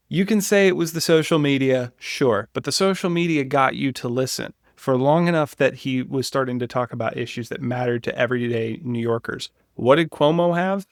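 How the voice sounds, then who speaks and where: A man with a medium-pitched voice talks politics casually, as a podcast co-host does. His delivery is informal and opinionated, and the sound is dry, with no room echo.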